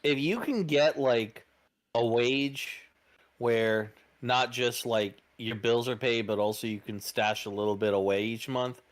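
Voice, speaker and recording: A man in easy conversation, blunt and a bit crude, tripping into restarts before he lands his point. His voice sits at an ordinary middle pitch. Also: steady tone, measured pace. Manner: blunt, swears, trails into restarts. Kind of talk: casual chat.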